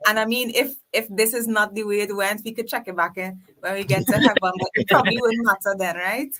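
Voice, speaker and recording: A woman's voice: medium pitch, fairly even intonation, fast talker, a crowd in the background, low-quality recording. A woman from Trinidad with a Trinidadian accent, speaking as a guest in an earnest, reflective podcast conversation. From Trinidad and Tobago. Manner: earnest, reflective, faith-filled.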